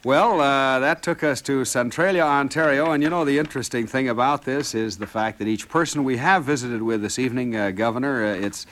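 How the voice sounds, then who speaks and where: A man's voice: medium pitch, a little fast, few pauses, fairly bright tone. A man hosting a live radio call-in show, genial and chatty. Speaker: radio host.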